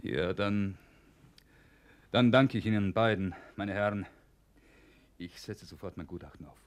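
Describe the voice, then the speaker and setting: An actor playing a cautious, polite psychiatry professor in a German radio play, formal and pedantic. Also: male voice, fairly low pitch, a little slow, background noise, lightly acted. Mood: cautious, firm.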